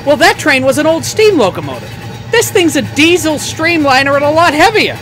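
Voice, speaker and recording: An actor playing an anxious, practical train engineer in an old-time radio drama. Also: male voice, very high voice, very animated, brisk, fairly bright tone, heavily acted. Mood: worried.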